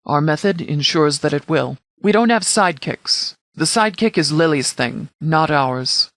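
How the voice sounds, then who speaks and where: An actor playing a stern, composed sheriff of a post-apocalyptic militia in a video game, speaking in a measured, formal, authoritative way with clipped orders. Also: male voice, on the high side, lively intonation, fairly bright tone, no room sound, clean studio sound. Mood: stern, businesslike. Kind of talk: scripted video game dialogue.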